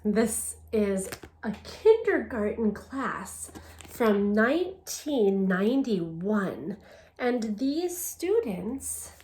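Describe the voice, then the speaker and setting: A woman teacher reading aloud to young children in a simple, deliberate, encouraging way, often posing questions to them. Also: mid-range voice, darkish tone. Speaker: elementary school language teacher. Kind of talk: children's read-aloud lesson.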